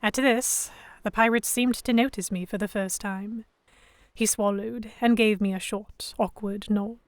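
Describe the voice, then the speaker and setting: A woman playing an anxious, indignant young captive who narrates her ordeal in expressive, first-person storytelling for an audio drama. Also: mid-range voice, measured pace, no echo, natural voice. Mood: tense.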